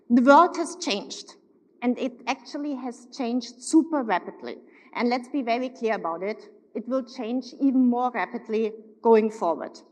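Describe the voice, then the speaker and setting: A German-accented woman in her forties delivers an upbeat corporate keynote from a stage. Her voice sits a little high, and she leans on key words with slow emphasis. The sound carries the long reverb of a big ballroom. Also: a little mellow. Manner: upbeat, emphatic, slowing for stress. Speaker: corporate executive keynote speaker. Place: large hotel ballroom. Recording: long reverb of a large hall.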